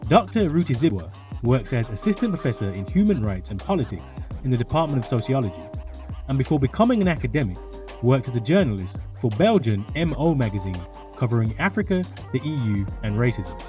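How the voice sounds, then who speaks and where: A man's voice: medium pitch, big pitch swings, fairly quick, dark tone, faint music behind. A man reading scripted documentary narration.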